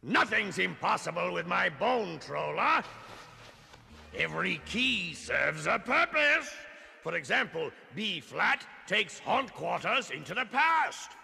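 An actor playing a menacing skeletal robot ghost overlord in a cartoon, snarling and taunting in a grandiose, theatrical way. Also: male voice, sits high, sing-song, takes it slowly, pushed and bright, full character voice. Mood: gloating menace. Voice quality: gravelly, snarling. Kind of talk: 1980s cartoon villain acting. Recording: long reverb, faint music behind.

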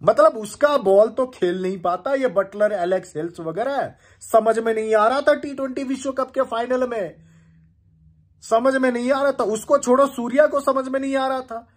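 Young man from India, casual animated reaction rant, raised pitch, close webcam sound in a room. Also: normal speed. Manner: excited, animated, gestures with hands. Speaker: cricket commentator reacting. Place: indoor room on a leather couch.

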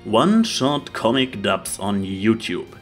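A man's voice: fairly low pitch, sing-song, slow. A man hosting a YouTube video, delivering scripted opinion commentary. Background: music.

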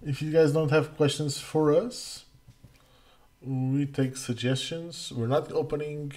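A middle-aged man from Portugal, hosting a casual livestream Q&A as community manager, halting with many fillers and restarts. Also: a little high, steady tone, darkish tone. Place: home room, indoors. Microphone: headset.

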